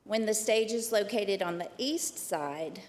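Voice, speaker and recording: A soft-spoken woman, a program director, giving a formal prepared presentation to a county committee. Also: mid-range voice, a little slow, long reverb tail. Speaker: executive director of a downtown program. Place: county committee meeting room.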